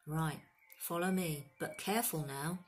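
Woman putting on a blunt rustic gamekeeper man, loud. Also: low voice, measured pace, faint music behind. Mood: grim, wary. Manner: rustic dialect, blunt, colloquial. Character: gruff big kindly gamekeeper man, grumpy and protective. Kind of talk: storybook character voice.

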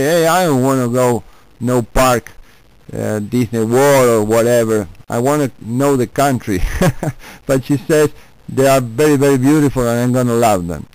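A man's voice: mid-range voice, clear, resonant tone, no room sound, rough recording. A man from Argentina with an Argentine Spanish accent, chatting casually and amiably as an amateur radio operator. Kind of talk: casual chat over ham radio.

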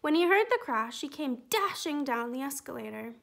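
A woman reading a children's picture book aloud, gentle and expressive. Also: high-pitched, normal speed, gravelly. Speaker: storytime reader.

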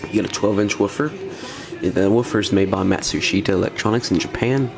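Low-pitched man, casual hobbyist demo. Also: fairly quick, creaky. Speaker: audio hobbyist presenter. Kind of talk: casual handheld demo commentary. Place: room at home with wooden floor.

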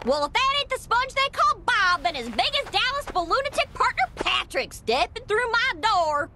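A woman's voice: extremely high pitch, very animated, moderate pace, noisy background. A woman voicing a feisty squirrel cowgirl karate fighter with a brash, drawling Texan accent in a cartoon video game. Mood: menacing, brash. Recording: loud game music behind.

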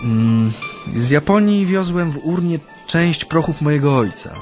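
An actor playing a reserved Japanese interpreter in exile in a naturalistic radio drama, speaking politely with hesitant pauses. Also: male voice, a little high, lively intonation, darkish tone. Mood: reflective. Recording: studio radio drama with music.

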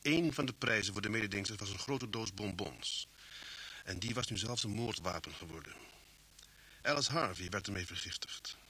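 An actor playing a brisk, authoritative male police chief inspector in a Dutch radio drama. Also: fairly low pitch, bright, forceful tone, slightly rough. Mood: grave narration. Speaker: actor and narrator in radio serial. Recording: noisy old radio play recording.

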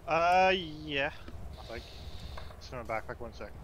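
Guy acting as cheeky evasive male driver in a game voice chat, sharp bright voice, bit of noise behind. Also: a little high, lively intonation, measured pace. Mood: flippant, evasive. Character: evasive, flippant male driver stopped by police.

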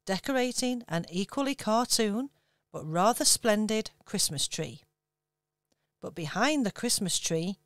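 A woman giving measured storybook narration for a radio pantomime. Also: mid-range voice, no echo, studio quality.

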